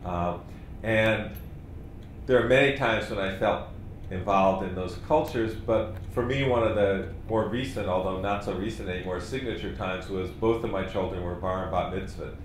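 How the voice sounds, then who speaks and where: A man leading a training workshop, explaining in a relaxed, conversational way. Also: deep voice, moderate pace. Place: meeting room. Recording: very echoey room, faint noise.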